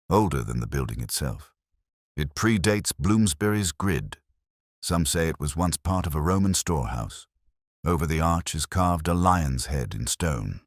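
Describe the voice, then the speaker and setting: A man's voice: rumbling low, no echo, studio quality. A man voicing an earnest, learned male scholar in a mystery audiobook, speaking formally and explaining carefully.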